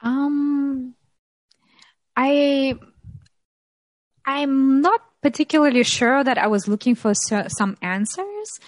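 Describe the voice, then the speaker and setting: A woman, telling her story as an interview guest, thoughtful and pausing often. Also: high-pitched, no room sound. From Russia. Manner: thoughtful, many pauses and ums, light laughs. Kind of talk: reflective interview talk.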